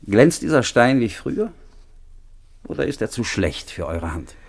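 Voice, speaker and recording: An actor playing a proud, honourable young husband in a classic radio drama, formal and declamatory. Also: male voice, mid-range voice, big pitch swings, measured pace, gravelly, slightly rough, exaggerated voice. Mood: eager, proud.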